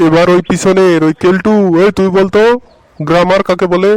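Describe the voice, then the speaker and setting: A man's voice: high-pitched, flat delivery, moderate pace, a little mellow, no room sound, lightly acted, poor audio. An actor playing an easily exasperated male schoolteacher in a comedy cartoon, scolding his pupils. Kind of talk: cartoon voice acting.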